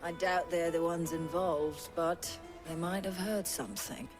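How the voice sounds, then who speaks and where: An actress playing a brisk, frank female fishmonger in a fantasy video game, speaking plainly and colloquially. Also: on the low side, fairly even intonation, over music, lightly acted. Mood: frank, resigned. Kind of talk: dramatic video game acting.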